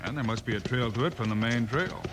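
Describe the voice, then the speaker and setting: A calm, watchful frontier lawman, played by a male actor, with a mid-pitched voice. He reasons things out plainly, as in a 1950s radio western, over a clearly noisy old recording. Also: quick pace, lightly acted. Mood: calm, reasoning. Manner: calm, matter-of-fact, reasoning.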